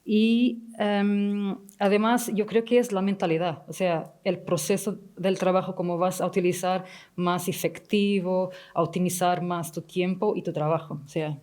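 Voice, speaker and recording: A native Portuguese woman with a Portuguese accent, speaking as a panelist in a halting, word-searching way. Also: lowish voice, steady tone, a little mellow. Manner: halting, searching for words in a second language. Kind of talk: unscripted panel discussion.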